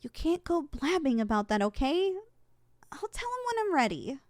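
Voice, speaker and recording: A woman acts out a young slime succubus for an ASMR roleplay. The voice is high and very lively in its swoops, sweet and coaxing, with an affectionate, competitive streak. Some noise sits behind the recording. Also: moderate pace. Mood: flustered, earnest. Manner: sweet, coaxing, easily flustered.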